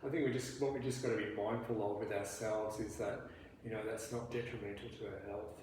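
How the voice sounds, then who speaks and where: A man acting as a therapist in a counselling role play, asking questions gently and haltingly, full of restarts and "you know" fillers. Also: lowish voice, fairly even intonation. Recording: very echoey room, faint background noise.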